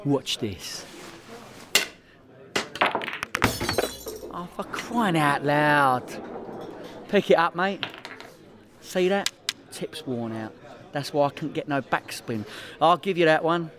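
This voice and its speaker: A man's voice: a little high, takes it slowly, background noise. A man playing a hopeless, boastful petty criminal with a London accent in a comedy radio play, matey and defensive. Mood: boastful, excuse-making.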